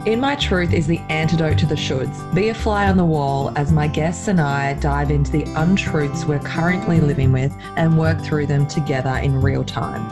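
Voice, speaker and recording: A woman hosting a podcast, speaking earnestly and reflectively, a little croaky in the early morning. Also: deep voice, normal speed, few pauses, over music. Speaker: podcast host. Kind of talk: scripted intro then casual chat.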